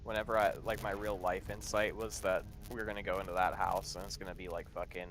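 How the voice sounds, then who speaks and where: A man's voice: sits low, little pitch movement, a little fast, fairly bright tone. A man playing a tabletop game, bantering casually in a teasing, sweary way. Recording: faintly noisy voice chat mic.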